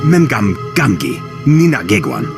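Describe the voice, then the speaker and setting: Alien creature: invented gibberish, lively swooping delivery, sci-fi game, very loud score behind. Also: male voice, lowish voice, moderate pace, vocal fry, heavily acted. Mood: chattering, insistent. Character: small alien creature chattering in an invented tongue. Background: loud game music.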